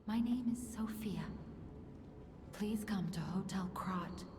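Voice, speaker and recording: Sophia, a breathy urgent young woman, as an actress plays her. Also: medium pitch, a little mellow, a little hiss or hum, close to an everyday voice. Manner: hurried, pleading. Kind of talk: video game dialogue.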